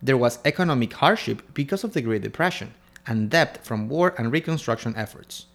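A man's voice: mid-range voice, expressive, fairly quick. A man from Ecuador co-hosting a history podcast, reading scripted narration in turns with his co-host.

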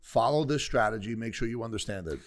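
A man's voice: mid-range voice, expressive, fast. A man hosting a casual chat who asks questions and recaps points for listeners. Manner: quizzing, recaps the guest's points to the audience. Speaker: host.

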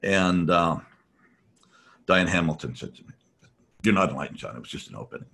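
A man's voice: low-pitched, animated, brisk, vocal fry, bright, forceful tone. A man talking in a reflective, rambling way, with many fillers and restarts, as a podcast guest. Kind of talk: reflective conversational talk.

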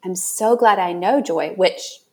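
A woman hosting a podcast interview, responding in a conversational, effusive and expressive way. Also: fairly low pitch, measured pace. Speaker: podcast host.

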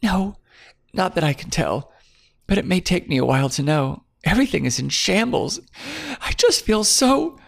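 A man's voice: sits high, sing-song, normal speed, gravelly, voice pushed up, exaggerated voice. A man voicing a frightened young woman client, pleading and sobbing as she talks. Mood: frightened, tearful.

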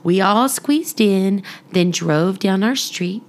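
Slow-paced, gravelly-edged woman reading for children. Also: medium pitch. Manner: expressive, deliberate read-aloud phrasing aimed at young listeners. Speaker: children's story podcast host and reader. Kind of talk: children's storybook narration and friendly address to listeners.

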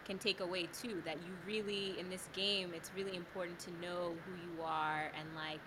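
A woman's voice: on the low side, fairly even intonation, moderate pace, noisy background. A woman who grew up in the States, interviewing a guest in a chatty way, full of fillers. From United States. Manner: chatty, many fillers like "you know". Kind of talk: casual interview chat.

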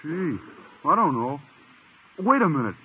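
Gawky, husky high-voiced teenage boy. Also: very animated, unhurried, exaggerated voice. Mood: earnest. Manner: gawky, naive, ungrammatical. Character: dim, earnest teenage boy shop helper. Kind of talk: 1950s radio comedy acting. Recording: muffled, reverberant old radio recording.

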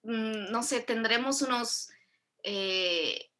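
Bright, lively woman host. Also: fairly high pitch, very slow. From Mexico. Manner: animated, rambling with self-corrections. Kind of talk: casual conversational interview.

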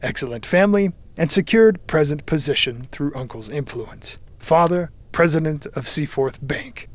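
A man's voice: fairly high pitch, lively intonation, moderate pace. A man voicing a sinister, eccentric older man, coolly menacing and theatrical, in a storybook reading. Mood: coolly businesslike.

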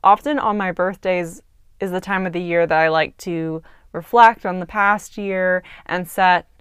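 A woman's voice: fairly low pitch, clear, resonant tone, no room sound, studio quality. A woman in her twenties hosting her own podcast, talking in an earnest, chatty way and thinking aloud with little fillers. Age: 20s. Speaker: podcast host. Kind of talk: casual reflective monologue.

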